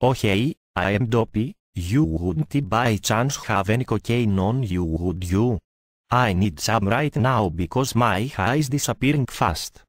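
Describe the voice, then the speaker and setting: A man's voice: lowish voice, lively intonation, unhurried, dry recording, studio quality. A man playing a jittery, casually wheedling addict dwarf in an amateur fairytale. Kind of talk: amateur storybook acting.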